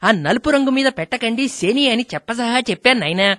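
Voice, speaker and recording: A woman voicing a worried elderly village mother in a radio comedy, fussing and plaintive, with a somewhat high voice. She sounds close and dry, as if right at the mic. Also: expressive, fast talker, a bit bright, dry recording. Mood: complaining. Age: older. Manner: plaintive, calls listener "son" fondly.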